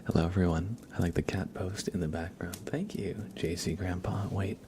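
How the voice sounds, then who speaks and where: A man hosting a live ASMR stream in a soft, often whispered voice, chatting informally in loose, hesitant phrases. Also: sits low, steady tone, normal speed. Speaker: ASMR livestream host. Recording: clear background ambient noise.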